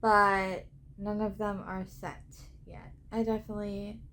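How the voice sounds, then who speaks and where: A woman travel vlogger talking casually to camera with a head cold and a scratchy throat, in a chatty way full of ums. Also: mid-range voice. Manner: slow, relaxed, chatty with filler ums. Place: cruise ship cabin.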